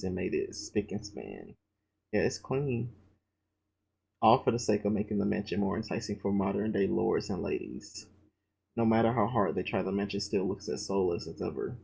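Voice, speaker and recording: A man's voice: lowish voice, gravelly, breathy edge, rough recording. A man reading a visual novel aloud, breaking off into profane, slangy joking asides. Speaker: let's play gamer. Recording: loud background noise.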